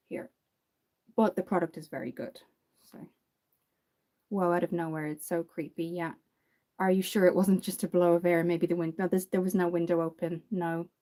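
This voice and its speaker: Chatty Dublin woman with a mellow voice. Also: fairly low pitch. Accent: Dublin Irish. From Ireland. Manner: chatty, reads and answers viewer comments. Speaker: makeup livestreamer. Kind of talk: casual livestream makeup tutorial.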